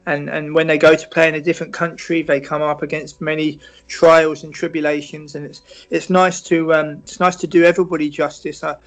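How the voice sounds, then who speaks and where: A British man answering interview questions in a measured, reflective way, often restarting and filling pauses. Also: on the high side, level tone, rough recording. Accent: British English. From Britain. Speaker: football writer and interview guest.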